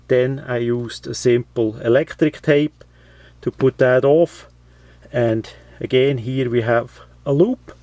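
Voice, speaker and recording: A man presenting a how-to, explaining step by step in an unscripted, halting way with frequent pauses. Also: mid-range voice, darkish tone. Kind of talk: unscripted step-by-step tutorial talk.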